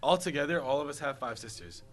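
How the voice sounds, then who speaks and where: A man's voice: medium pitch, expressive, quick pace. A teenage boy co-hosting a student radio show, talking earnestly and stressing his points. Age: teens. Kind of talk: casual chat.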